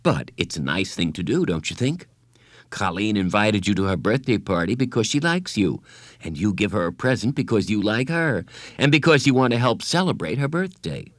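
A man voicing a gentle, patient woman school counselor in an audiobook, speaking calmly and kindly. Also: fairly low pitch, moderate pace, no room sound, studio quality. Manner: calm, kind, reassuring. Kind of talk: audiobook character voice.

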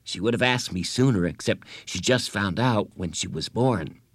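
A man voicing an anxious, excitable young schoolboy in an audiobook, high, eager and whiny. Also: normal speed, husky, no room sound. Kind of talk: audiobook character voice.

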